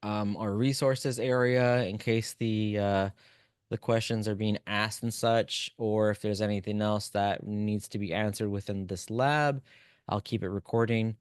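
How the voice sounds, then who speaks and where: A man whose first language is Spanish, teaching a live online class and talking to students in chat in a relaxed, encouraging way. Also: fairly low pitch, unhurried, smooth, dry recording, studio quality. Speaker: instructor.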